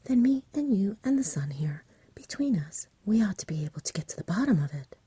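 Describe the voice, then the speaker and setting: A sensible shepherd's wife voiced by a woman speaks in a breathy storybook whisper. Her country speech is practical and steadying, with a soft, mellow tone. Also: medium pitch, sing-song, brisk, dry recording, voice pushed up. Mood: soothing.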